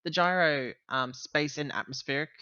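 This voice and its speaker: A man playing a roleplaying game, talking casually in character with halting, self-correcting explanations. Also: on the high side, sharp tone, clear, resonant tone, dry recording, dull audio. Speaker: tabletop roleplaying player.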